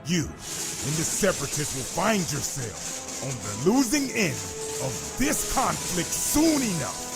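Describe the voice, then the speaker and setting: A man's voice: a little high, very animated, slow, gravelly, heavily acted. A man playing a stern, righteous Jedi master in a comic dub, speaking in a grave, resolute, formal manner. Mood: defiant. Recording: faint music behind.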